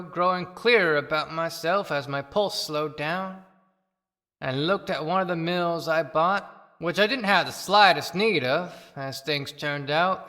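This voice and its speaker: Woman voicing rueful farmer ghost, slow thoughtful monologue, big echoey reverb. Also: lowish voice, fairly bright tone. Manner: deliberate, reflective. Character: dead farmer, rueful over a wasted life. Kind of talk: dramatic monologue in an audio play. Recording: long echoing hall reverb.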